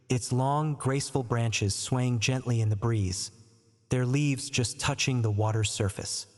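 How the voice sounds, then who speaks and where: A man narrating a scripted bedtime story and guided sleep meditation in a calm, gentle, unhurried, soothing manner. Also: medium pitch. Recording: long reverb on the voice.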